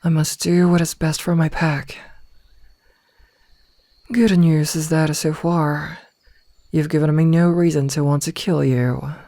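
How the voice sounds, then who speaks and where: Slow, possessive werewolf woman, acted by a woman. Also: low-pitched, fairly even intonation, mellow, no echo. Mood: suspicious, stern. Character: possessive werewolf woman, pack omega, smitten yet threatening. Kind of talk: ASMR roleplay audio drama. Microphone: close, dry microphone.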